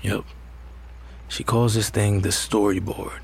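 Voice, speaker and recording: An actor playing an ordinary, rattled and disbelieving husband in an audio drama, with a hesitant, conversational delivery. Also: male voice, on the low side, little pitch movement, slow pace, vocal fry, breathy edge, exaggerated voice. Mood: wry, cautious. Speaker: actor in a fiction podcast. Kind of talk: naturalistic audio drama acting.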